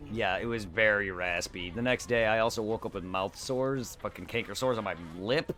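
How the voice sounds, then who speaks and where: A man streaming a game, chatting casually in a quiet voice, rambling and correcting himself as he goes. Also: lowish voice, normal speed. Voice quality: quiet normal voice. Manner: rambling, self-correcting, laughs easily. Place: home streaming setup.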